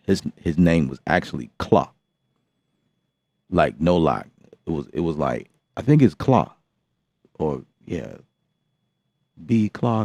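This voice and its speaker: A man's voice: a bass voice, vocal fry, darkish tone, husky, no room sound. A man hosting a live beatmaking session, chatting casually and rambling with lots of fillers and restarts. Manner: laid-back, rambling, many fillers and restarts. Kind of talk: casual live-stream chat. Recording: dull lo-fi sound.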